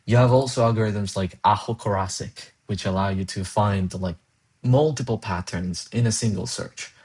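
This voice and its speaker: A man's voice: lowish voice, moderate pace, a little mellow, slightly rough. A young man from Colombia whose first language is Spanish, chatting casually while coding on a live stream, rambling with fillers and restarts and laughing now and then. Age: young adult. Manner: rambling, thinks aloud with many fillers and restarts; laughs often, reads chat aloud. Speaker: livestreaming programmer.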